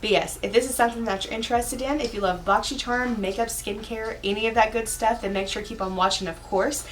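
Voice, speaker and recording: A woman beauty vlogger chatting casually about makeup, her voice hoarse, talking around a cough drop in a rambling, chatty way. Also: on the high side, fairly even intonation, fast, distant mic, a little hiss or hum, rough recording. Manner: chatty and rambling, with filler asides. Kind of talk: casual get-ready-with-me chat.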